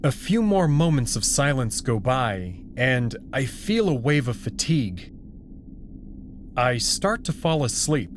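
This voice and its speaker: A man's voice: medium pitch, big pitch swings, slow pace, background noise. A man giving scripted narration and commentary as the reader of a story.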